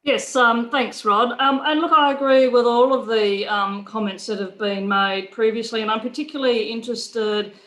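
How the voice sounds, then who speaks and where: A woman's voice: fairly high pitch, fairly even intonation, moderate pace, few pauses, fairly bright tone. A woman, a council candidate speaking earnestly with many fillers. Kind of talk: unscripted town hall answer.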